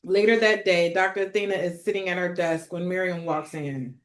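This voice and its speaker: A woman with a slightly low voice directs a script read. She narrates stage directions as scripted narration. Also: steady tone, brisk.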